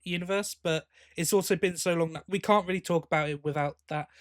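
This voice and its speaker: Man, slightly high voice, dry sound, casual podcast talk, chatty, enthusiastic co-host. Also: fast, dry recording.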